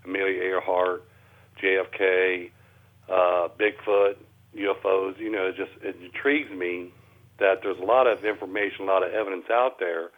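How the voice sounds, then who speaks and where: An American man answering as an interview guest, plain-spoken and halting, over a narrow telephone line. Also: sits low, fairly even intonation. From Cincinnati, Ohio, USA. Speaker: interview guest, amateur researcher.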